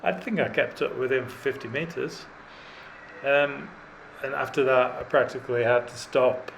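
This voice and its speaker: A man's voice: mid-range voice, normal speed, vocal fry, fairly bright tone, slight background noise, low-quality recording. A middle-aged man talking in a dry, self-deprecating way with many fillers while cycling up a mountain road. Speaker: cycling vlogger. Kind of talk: rambling self-deprecating commentary while riding.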